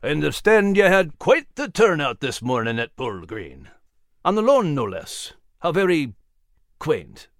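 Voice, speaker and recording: A man's voice: on the high side, very animated, measured pace, no echo, studio quality. A man voicing a stern, skeptical middle-aged clergyman in a storybook, with a cool, huffy, pointed manner. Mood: cool, disapproving.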